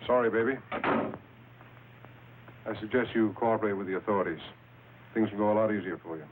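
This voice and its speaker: An actor playing a tough undercover customs agent, terse, dry and hard-boiled, in old noir radio style on a thin, hissy recording. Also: male voice, lowish voice, fairly quick, narrow, tinny audio, close to an everyday voice. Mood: stern, cool. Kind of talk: mid-century film noir radio acting.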